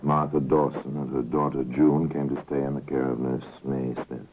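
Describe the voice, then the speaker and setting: Very low male voice, knowing mystery narrator, insinuating, old radio drama, thin vintage sound. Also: little pitch movement, a little hiss or hum. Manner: measured, insinuating, rhetorical. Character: knowing, ominous male storyteller of a mystery tale. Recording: muffled narrow-band vintage radio recording.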